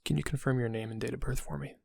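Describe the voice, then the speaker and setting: An actor playing a friendly but subtly sinister dental receptionist in an audio roleplay, casual and polite, with a husky, gravelly voice. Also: male voice, mid-range voice, steady tone, very fast, no room sound, clean studio sound. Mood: friendly, businesslike. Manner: casual, polite, quietly insinuating. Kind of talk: intimate audio roleplay acting.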